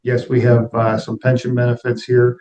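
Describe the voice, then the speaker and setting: A middle-aged man speaks as an interview guest in a conversational register. His delivery is reflective and plain-spoken, with little rise and fall in pitch. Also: monotone, normal speed, a little mellow, a little hiss or hum. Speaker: interview guest, aviation executive.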